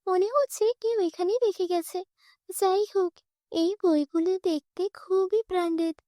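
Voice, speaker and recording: A woman's voice: extremely high pitch, steady tone, normal speed, warm tone, no room sound, clean studio sound. A woman voicing a tender, plaintive young orphan girl in a cartoon story. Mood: curious.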